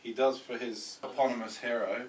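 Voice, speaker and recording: A man playing a creepy, patronising film director who talks in a slow, coaxing way in a comedy script read. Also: mid-range voice, steady tone, natural voice. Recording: roomy, distant mic, slight noise.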